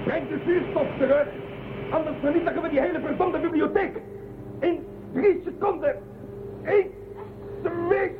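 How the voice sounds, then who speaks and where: An actress playing a fierce, commanding space crew member in a science fiction radio drama, urgent and clipped. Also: female voice, extremely high pitch, a little slow, pauses often, noisy background. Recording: narrow phone-like sound, music behind.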